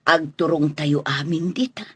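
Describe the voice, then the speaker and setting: A woman playing a kindly older household woman in a radio drama, husky and motherly. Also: low voice, no echo. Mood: consoling, resigned. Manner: motherly, unhurried.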